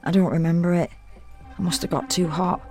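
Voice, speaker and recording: A woman's voice: fairly low pitch, steady tone, a little fast, a little mellow, close to an everyday voice. An actress playing a sardonic, self-deprecating woman in an intimate, confiding first-person audio drama monologue. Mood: wry, hushed. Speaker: solo performer reading a dramatic monologue. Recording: music under the voice.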